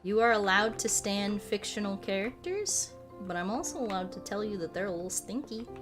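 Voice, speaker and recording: Female game streamer, mid pitch, casual and rambling, playfully cutesy turns of phrase, music behind. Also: animated. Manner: rambling, halting explanations with frequent self-corrections. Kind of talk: casual chat while playing a game.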